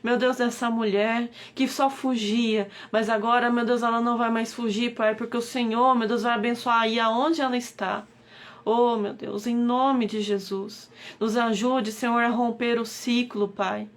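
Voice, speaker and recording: Brazilian Portuguese woman, slightly high voice, pleading devotional prayer leader who keeps invoking God. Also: steady tone, measured pace. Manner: pleading, repeated invocations of God. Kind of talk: devotional live-stream prayer.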